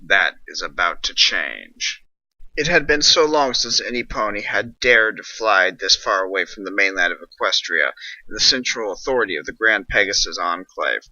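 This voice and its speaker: Low-ish man narrating a story, portentous and dramatic, dry muffled lo-fi recording. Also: lively intonation, moderate pace, sharp tone, no room sound.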